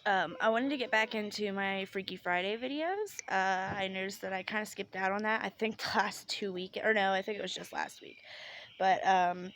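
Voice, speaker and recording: A woman's voice: medium pitch, moderate pace, a bit bright. Woman vlogger, young, stuffy and congested, rambling chat outdoors, light noise behind. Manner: chatty, rambling with many ums. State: congested, sick. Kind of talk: casual vlog chat. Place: outdoors on a porch by a grill.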